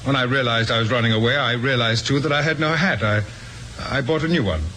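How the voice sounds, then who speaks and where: A man's voice: mid-range voice, quick pace, sharp tone, close to an everyday voice. Man playing an evasive, courteous suspect, faint radio hiss. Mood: nervously explaining. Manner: hesitant, over-explaining, courteous. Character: evasive, polite man in his thirties, smooth suspect. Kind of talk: old-time radio drama acting. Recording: faintly noisy old radio recording.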